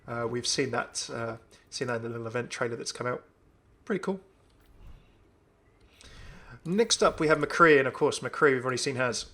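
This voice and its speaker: A man's voice: medium pitch, moderate pace, bright, forceful tone. A young man giving casual, enthusiastic commentary on a video game. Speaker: gaming video host.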